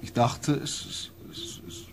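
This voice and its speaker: An actor playing a weary, haunted empathic explorer in a science-fiction radio play, speaking haltingly and deliberately. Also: male voice, background noise, natural voice. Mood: uneasy, wondering.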